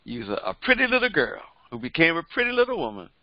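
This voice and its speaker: A man's voice: on the high side, huge pitch swings, fast talker, dry recording. An older man, a pastor, preaching a Bible lesson in a fervent, repetitive cadence through a headset microphone in a small studio. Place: small indoor studio before a printed backdrop. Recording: dry, slightly dull sound.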